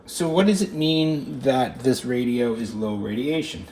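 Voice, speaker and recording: A man's voice: mid-range voice, animated, measured pace, a little mellow, rough recording. A man hosting a hands-on electronics video in casual, unscripted commentary, thinking aloud as he inspects and sometimes trailing off. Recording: clear background noise, room ambience.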